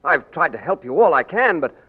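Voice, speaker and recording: An actor in a 1940s radio drama playing an English gentleman who poses as a king, with a light, wry delivery. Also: on the high side, big pitch swings, fairly quick, slightly rough. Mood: troubled. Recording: tinny, noisy old radio broadcast.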